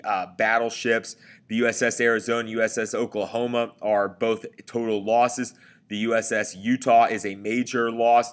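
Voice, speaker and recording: A man's voice: mid-range voice, fairly bright tone. A man giving a recorded history lecture over slides, talking conversationally with frequent fillers and self-corrections. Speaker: teacher.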